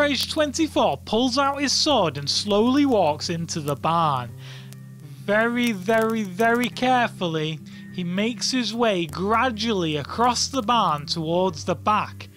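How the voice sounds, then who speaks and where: An informal storyteller reads a comic fairy tale with highly animated intonation. The telling is playful and colloquial, over background music.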